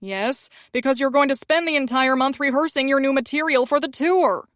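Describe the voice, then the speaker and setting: A woman's voice: high voice, normal speed, a bit bright, no echo, studio quality, pitched above the performer's own voice. Woman doing a whiny male publicist. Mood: fretful. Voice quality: grating whine. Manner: frantic, accusing. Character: panicky whining male band publicist.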